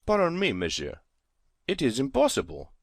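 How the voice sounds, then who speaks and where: A man playing an animated, courteous young valet. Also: mid-range voice, moderate pace, vocal fry, no echo, studio quality, exaggerated voice. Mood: deferential. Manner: deferential, formal, explaining himself at length. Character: French manservant of thirty, eager, polite, talkative. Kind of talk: dramatized audiobook character dialogue.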